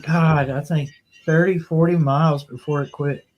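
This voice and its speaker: Folksy man with a slightly high voice. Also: fairly even intonation, normal speed, dull audio, soft background music. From Ohio, United States. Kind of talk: casual anecdotal storytelling.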